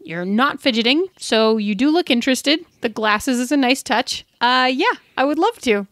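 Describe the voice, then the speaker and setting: A woman speaks as a podcast co-host in a fairly high voice. Her delivery is informal and conversational, recorded close and very dry. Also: lively intonation, normal speed, fairly bright tone, dry recording.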